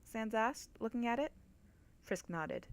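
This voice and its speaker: A woman's voice: a little high, expressive, takes it slowly. A woman narrator reading a scripted story aloud as a dramatic reading.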